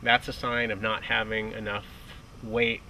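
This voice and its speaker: Casual lakeside ski coach, distant mic. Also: male voice, fairly low pitch, level tone, measured pace, sharp tone. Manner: casual, with frequent restarts. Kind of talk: outdoor instructional chat. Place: outdoors on a dock by a lake. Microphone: distant camera mic. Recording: distant outdoor mic with light noise.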